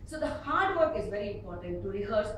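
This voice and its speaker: Indian woman, speech trainer, emphatic delivery, dramatic pauses, very reverberant room, distant noisy recording. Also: medium pitch, sing-song. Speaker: public speaking trainer at a speakers club. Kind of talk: instructional speech to a live audience. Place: echoey meeting room with an audience. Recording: echoey hall from far off, noisy.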